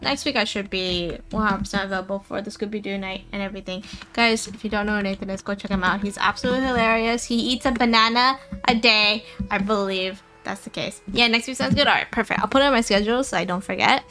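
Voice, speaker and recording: A woman's voice: mid-range voice, quick pace, pushed and bright, soft background music. A woman live-streaming a game, chatting casually in a playful, giggly way on a close mic.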